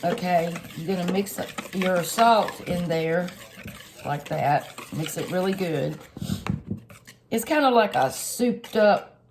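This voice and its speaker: A woman, a little hoarse and stuffed up, chatting homily through a cooking tutorial for her viewers. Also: on the low side, takes it slowly, noisy background. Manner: homey, chatty, lots of fillers, addresses viewers directly. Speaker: home cook channel host.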